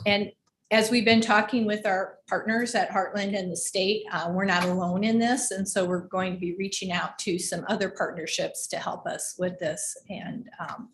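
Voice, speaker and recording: Female district administrator, mid-pitched, giving explanations at a school board meeting; noisy recording. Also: steady tone, moderate pace. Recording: clearly noisy meeting livestream with clicks.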